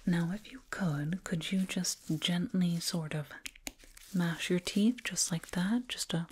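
A woman playing a soothing, proud alien dentist in an ASMR roleplay, whispering gently and repetitively up close. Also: fairly low pitch, slow. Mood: proud, pleased. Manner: gentle, repetitive, reassuring murmur. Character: soothing, proud alien dentist with eerie delight in sharp teeth. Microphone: binaural mic, up close. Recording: close binaural mic, clear noise.

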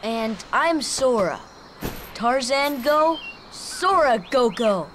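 A man's voice: very high-pitched, expressive, slow, background noise, heavily acted. An actor playing an earnest, friendly teenage boy hero in a video game, youthful and hesitant, often questioning. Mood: earnest, puzzled. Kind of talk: video game cutscene voice acting.

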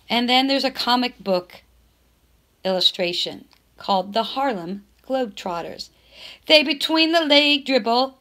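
Slow-paced, higher-voiced woman reading aloud. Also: a bit bright. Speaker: teacher reading a book aloud. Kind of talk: expressive read-aloud narration of a children's novel.

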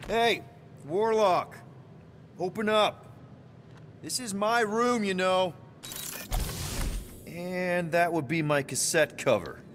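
Young roguish team leader, casual, wry, exasperated, slightly high voice, game acting, faint noise. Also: male voice, slow pace, pauses often, a bit bright. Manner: informal, wry. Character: casual, exasperated young male human space-outlaw leader. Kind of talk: conversational video game acting.

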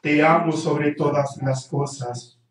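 A middle-aged man, a priest celebrating mass at the altar of a small church chapel, reciting the prayers in a solemn, measured way, recorded by a camera at a distance. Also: fairly high pitch, steady tone, very echoey. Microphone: far camera.

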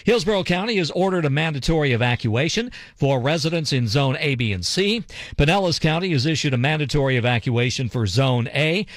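An American man reading a radio news bulletin in a measured, formal manner as a reporter. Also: on the high side, expressive, no room sound, clean studio sound.

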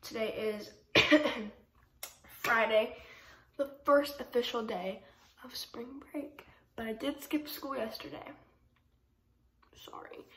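A woman's voice: fairly high pitch, a bit bright, echoey room, slight background noise, low-quality recording. A teenage girl vlogging casually to her phone at home, rambling with lots of fillers while she has a head cold. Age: teens. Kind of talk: casual vlog chat to camera. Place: bedroom at home, indoors. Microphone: phone camera at arm's length.

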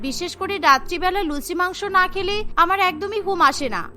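A woman's voice: very high voice, a little fast, sharp tone, soft background music. A woman voicing an eager, cheerful and obliging young bride who loves food, in a cartoon. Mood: eager, delighted. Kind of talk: cartoon voice acting.

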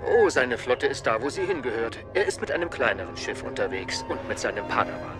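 Ein Mann spielt einen gelassenen, leicht ironischen Jedi-Meister in einem Zeichentrick-Hörspiel. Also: male voice, medium pitch, very animated, brisk, loud music behind the voice, close to an everyday voice. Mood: wry, composed. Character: composed, wry male Jedi master. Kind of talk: German animated-series audio drama dubbing.

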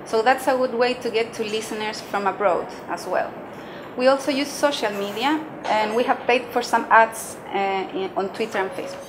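A woman's voice: a little high, normal speed, noisy background. A woman in her thirties, a native Spanish speaker with a Chilean accent, explaining her work in an interview. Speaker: journalist and podcast creator. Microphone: lapel mic. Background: music.

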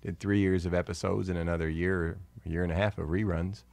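A man's voice: deep voice, little pitch movement, brisk, gravelly, a little mellow, dry recording. An American man, a musician, chatting casually and jokingly in an interview.

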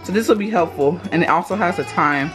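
A woman's voice: fairly low pitch, fast, music in the background, rough recording. A young woman with a Texan accent, chatting casually to her viewers while filming herself in her home kitchen. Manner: chatty, direct, addresses viewers as y'all. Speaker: vlogger. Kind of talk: casual vlog chat. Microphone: handheld camera at arm's length.

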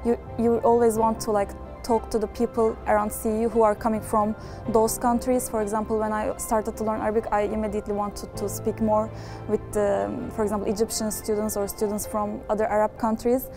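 A woman's voice: mid-range voice, little pitch movement, fairly quick. A young woman from Turkey, a PhD student, speaking earnestly to camera in an office. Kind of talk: interview to camera. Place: office room. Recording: music clearly behind.

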